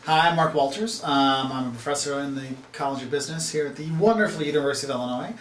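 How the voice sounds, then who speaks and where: A man's voice: a little high, fast talker, a bit bright, roomy sound, a little hiss or hum. A man in his thirties, a marketing professor and travel video host, talking casually to camera in a rambling, chatty way. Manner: rambling, chatty, full of fillers and restarts.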